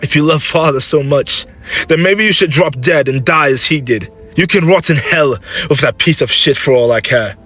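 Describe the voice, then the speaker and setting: A man's voice: medium pitch, moderate pace, a bit bright, breathy edge. Guy playing a cocky menacing warrior son in an audio story, heated and scornful, music behind him. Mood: furious. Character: proud, volatile, menacing young male warrior son.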